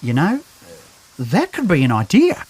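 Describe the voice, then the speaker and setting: High-voiced nerdy scientist. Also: male voice, huge pitch swings, unhurried, a little mellow, husky, heavily acted, low-quality recording. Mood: hesitant, thoughtful. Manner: stammering, rambling explanations. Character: nerdy, hesitant male scientist with boyish glee. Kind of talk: audio drama acting.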